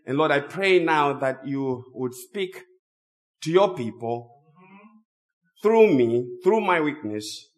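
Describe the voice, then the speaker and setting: Slow-preaching man with a cold. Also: a little high, lively intonation. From Zimbabwe. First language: not English. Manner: slow, drawn-out emphatic phrasing. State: has a cold. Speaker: guest preacher. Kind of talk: sermon.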